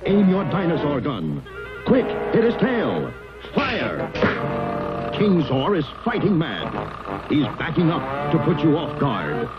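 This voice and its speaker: A man's voice: on the high side, huge pitch swings, slow. A man announcing a 1960s toy commercial in a dramatic, urgent manner. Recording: lo-fi old TV ad under loud music.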